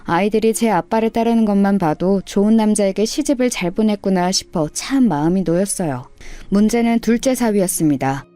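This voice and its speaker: A woman's voice: mid-range voice, warm tone. A woman reading a scripted first-person life story in a radio story style. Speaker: storyteller narrator.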